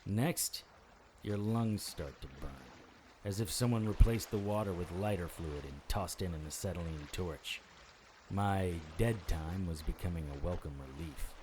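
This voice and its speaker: An actor playing a wry, world-weary supernatural investigator, narrating a noir audio drama in clipped, deadpan phrases. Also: male voice, sits low, unhurried, a little hiss or hum. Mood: grim, wry.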